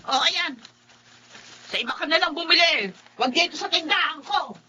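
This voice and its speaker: A blunt Filipino female fruit seller, grumbling and exclaiming in a high, piercing voice. Her pitch jumps around a lot in this radio drama performance. The recording is dull with faint noise. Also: lively intonation, moderate pace, pushed and bright, exaggerated voice. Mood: scornful, grumbling. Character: blunt, superstitious, scornful female market fruit vendor. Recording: dull audio with some noise.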